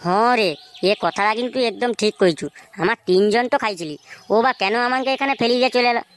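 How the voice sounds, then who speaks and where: A woman's voice: on the high side, fast talker, slight background noise, close to an everyday voice. A woman doing a tipsy, crude cartoon gang boss. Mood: tipsy, puzzled. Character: groggy, drunk, gruff male gang boss. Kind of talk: comedy cartoon dialogue.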